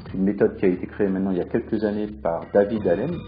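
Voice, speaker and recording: A male podcast host introducing the episode at a measured pace, with frequent hesitations. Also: on the low side, steady tone, narrow, tinny audio, soft background music.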